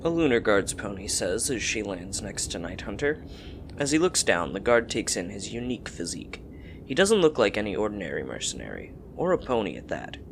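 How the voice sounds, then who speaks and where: A man's voice: lowish voice, big pitch swings, a little fast, creaky, noisy background. A man reading scripted fantasy story narration as the narrator of an audio drama.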